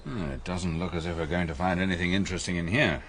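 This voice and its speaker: An actor playing a reserved, cooperative doctor in a radio drama, speaking in a measured, understated way. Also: male voice, low-pitched, fairly even intonation, noisy background, lightly acted. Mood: doubtful, musing. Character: reserved, cooperative village doctor, mildly doubtful.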